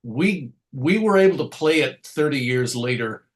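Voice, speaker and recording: A man's voice: medium pitch, lively intonation, moderate pace. Middle-aged American man reminiscing in an interview. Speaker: interview guest, radio show host. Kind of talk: reflective conversational interview storytelling.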